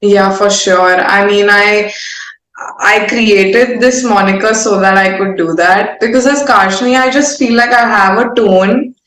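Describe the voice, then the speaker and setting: A woman's voice: medium pitch, unhurried, fairly bright tone. A young woman with an Indian accent chatting candidly and informally in an interview, with a playful way of talking. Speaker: singer-songwriter being interviewed. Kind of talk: casual interview conversation. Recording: roomy, echoing sound.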